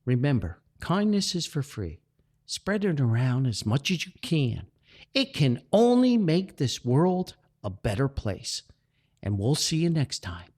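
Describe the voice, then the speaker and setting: Animated New man telling personal stories. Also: medium pitch, husky. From Paterson, New Jersey, United States. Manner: folksy, sentimental, earnest, chuckling. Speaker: podcast host and author. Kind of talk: reflective podcast storytelling.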